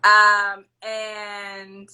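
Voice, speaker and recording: A woman hosting a podcast, talking loudly and emphatically in an upbeat, encouraging way, with a raspy voice. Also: medium pitch, monotone, drawn-out words. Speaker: podcast host. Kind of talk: encouraging casual interview chat.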